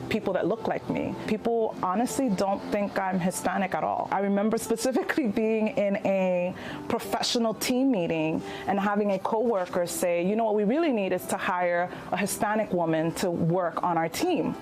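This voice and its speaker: A woman's voice: mid-range voice, moderate pace, a little mellow, a little hiss or hum. A woman in her forties speaking reflectively and conversationally about her own experience, as an interviewee in a news feature. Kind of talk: personal testimony.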